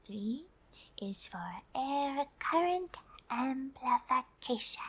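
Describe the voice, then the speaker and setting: A woman plays a cute, friendly alien girl in an ASMR roleplay. The voice is a breathy whisper, high and very slow. It sounds gentle and curious, explaining things with careful, slightly hesitant wording. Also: animated, exaggerated voice. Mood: softly instructive. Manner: gentle, hesitant, third-person speech with careful scientific wording. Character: cute, curious, friendly pink female alien explaining human summer tips. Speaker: host.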